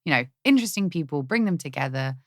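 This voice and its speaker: A woman in her thirties, a guest talking candidly and quickly in a casual podcast conversation. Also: low-pitched, animated, no echo, clean studio sound. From Britain. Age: 30s. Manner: candid, rapid, restarts, occasional swearing. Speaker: guest, actress.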